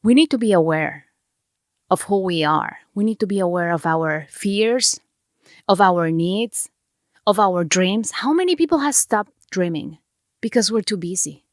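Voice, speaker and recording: A woman's voice: mid-range voice, lively intonation, a little slow, dry recording. Middle-aged woman, native Spanish speaker, Mexican accent, sincere motivational podcast host, dry close mic. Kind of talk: reflective motivational monologue.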